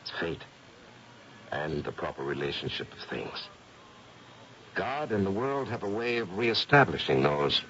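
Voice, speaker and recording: An actor playing an older, gray-haired occult investigator in a 1970s radio drama, theatrical and probing, with deliberate dramatic pauses. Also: male voice, sits low, very animated, a bit bright, heavily acted. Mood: solemn, philosophical. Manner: deliberate, dramatic pauses with hesitant lead-ins. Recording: muffled, noisy 1970s radio recording.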